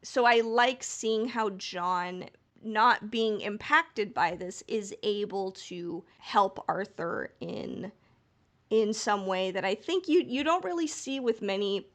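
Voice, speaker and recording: A woman co-hosting a podcast, talking casually and analytically, thinking aloud with frequent asides. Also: medium pitch. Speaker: podcast co-host. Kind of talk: casual podcast discussion, analysis of a fiction story.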